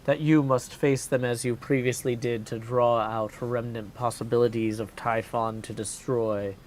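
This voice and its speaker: A game streamer voicing a solemn fantasy game character who gives counsel in a slow, formal, weighty way. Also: medium pitch, steady tone, darkish tone, voice pushed down, close to an everyday voice. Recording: clear background noise.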